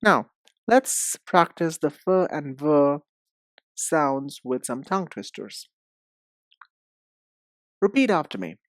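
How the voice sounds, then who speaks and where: A woman giving an instructional pronunciation lesson, speaking deliberately and enunciating with emphasis and pauses. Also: low voice, sing-song, no room sound, studio quality. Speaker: English pronunciation teacher. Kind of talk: instructional lesson, demonstrating sounds.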